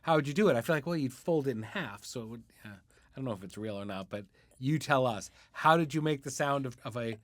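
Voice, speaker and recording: A man's voice: medium pitch, expressive, fast talker, dry recording. Male podcast host, dry sound. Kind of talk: casual interview hosting.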